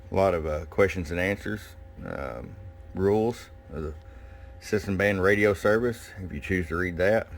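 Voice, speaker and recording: Very deep-voiced man, casual reviewer. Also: moderate pace. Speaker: shop owner presenting product. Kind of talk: casual hands-on product review. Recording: clear background noise and room ambience. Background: background noise.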